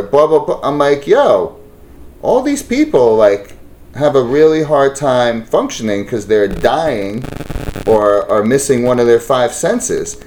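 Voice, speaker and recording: New man, casual co-host, rambling delivery. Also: medium pitch. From New York. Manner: rambling, animated storytelling with many fillers. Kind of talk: casual group chat.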